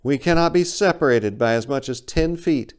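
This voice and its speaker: A man performs a big female owl from a comic storybook. The voice is pushed high, stubborn and insistent, and it slips between flat pronouncements and stammering pleas. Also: normal speed, clear, resonant tone, close to an everyday voice. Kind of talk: dramatic reading of a comic short story.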